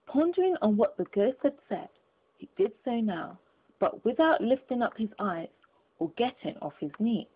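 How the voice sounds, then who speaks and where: A woman's voice: on the high side, sing-song, normal speed, slightly rough. A woman reading a classic story aloud as an audiobook, in dramatic scripted narration. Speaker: audiobook reader. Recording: thin, phone-like lo-fi audio.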